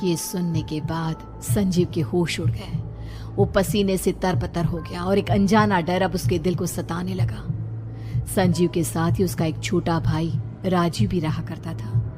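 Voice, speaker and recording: A woman's voice: fairly low pitch, steady tone, measured pace. A woman narrating a scripted horror story. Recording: loud music under the voice.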